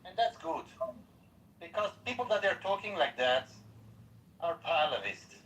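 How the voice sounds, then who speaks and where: Guy with a higher lively voice, keeps cutting in and repeating himself, far off mic. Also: a little slow, roomy sound. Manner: insistent, interrupting, repeats himself. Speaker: podcast panelist. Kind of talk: heated political debate. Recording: distant mic, faint background noise.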